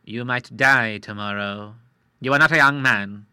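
A man voicing a shrewd middle-aged moneylender who talks in a slow, measured, coolly persuasive way. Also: medium pitch, pushed and bright, smooth, dry recording.

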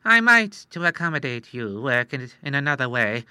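A man voicing a shrewd middle-aged moneylender who talks in a slow, measured, coolly persuasive way. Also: mid-range voice, very animated, pushed and bright, clear, resonant tone, no room sound.

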